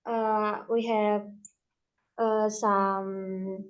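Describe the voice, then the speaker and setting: A woman interviewing, speaking in a hesitant, halting way. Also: medium pitch, level tone, muffled recording. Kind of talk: interview questions.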